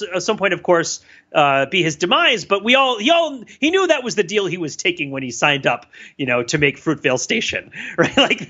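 A man with a bright, forceful, slightly high voice, talking through ideas on a podcast. He rambles, restarts sentences and laughs at his own jokes. The audio sounds dull and lo-fi. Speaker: podcast co-host. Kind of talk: casual analytical podcast chat.

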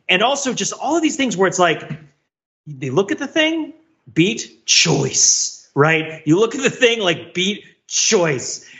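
A man's voice: a little high, bright, forceful tone, husky, dull audio. A man giving casual analytical commentary on television as a podcast panelist, emphatic and digressive, acting out his examples.

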